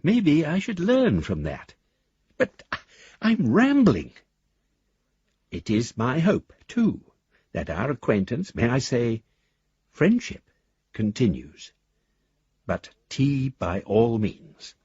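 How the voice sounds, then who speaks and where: Bashful, rambling English gentleman for radio drama; lowish, very husky voice with expressive swings. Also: slow pace, pauses often, dry recording, highs cut off, full character voice. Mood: rambling, affectionate. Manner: formal, bashful, gently whimsical. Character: courteous, shy, rambling English gentleman of independent means. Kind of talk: radio drama monologue, reading a letter.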